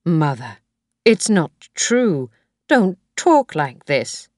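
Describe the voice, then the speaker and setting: A woman reading the lines of a gentle, reassuring husband in a soft, soothing way, as an audiobook. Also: low voice, big pitch swings, very slow, dry recording, studio quality, heavily acted.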